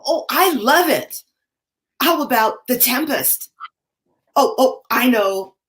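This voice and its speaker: A woman's voice: high-pitched, animated, takes it slowly, fairly bright tone, heavily acted. A woman acting an eager committee member in a comic staged reading. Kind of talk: comic staged reading over video call.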